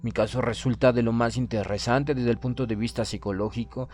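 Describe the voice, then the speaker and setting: A man narrating a horror audiobook in the first person, with a measured, solemn and literary delivery. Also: mid-range voice, fairly even intonation, a little mellow.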